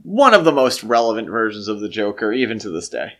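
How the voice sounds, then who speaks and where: A man co-hosting a podcast, talking through films in a measured, analytical, conversational way. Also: lowish voice, very animated. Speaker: podcast co-host. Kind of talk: conversational film discussion.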